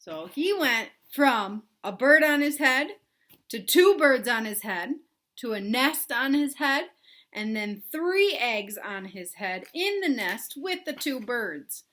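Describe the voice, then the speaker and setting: A woman with a bright, fairly high voice and very animated pitch. She talks informally to children, like a teacher at story time. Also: slow. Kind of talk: informal read-aloud chat to children.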